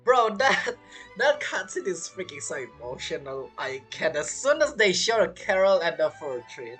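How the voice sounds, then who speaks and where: Female streamer: lowish voice, lively swings, giggly casual reactions, game music behind. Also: unhurried. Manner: expressive, giggly, slangy. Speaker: gaming streamer. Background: video game music.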